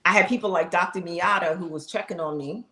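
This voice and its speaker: Lively low-voiced woman in an echoey room. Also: a little fast. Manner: animated, talks straight to the audience, exclaims, laughs. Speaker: live streamer sharing her health journey. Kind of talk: casual testimony to viewers.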